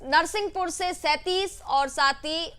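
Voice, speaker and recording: A woman presents the news as a studio anchor. Her voice is very high and sharply bright. She keeps to a formal broadcast delivery. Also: measured pace.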